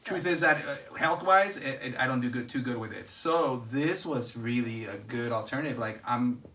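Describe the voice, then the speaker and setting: A man's voice: medium pitch, normal speed, sharp tone. A man chatting casually and upbeat as he hosts a family video, asking questions to draw the others in. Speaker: father hosting a family video. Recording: distant mic, faint background noise.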